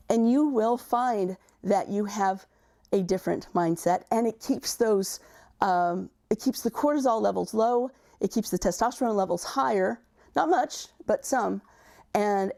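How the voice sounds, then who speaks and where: A middle-aged woman coaching job seekers on a livestream, her voice raspy and gravelly after an illness. Her tone is dark and mellow, and she talks conversationally, often restarting and repeating words as she gathers her thoughts. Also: mid-range voice. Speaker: career coach. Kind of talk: livestream advice talk.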